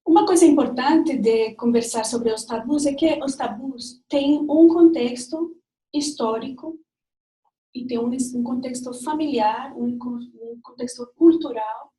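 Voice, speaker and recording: A Colombian woman speaking Portuguese with a Spanish accent, giving a reflective, personal talk with frequent pauses and restarts. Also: high voice, dark tone. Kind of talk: lecture-style talk. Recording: distant mic in an echoey room.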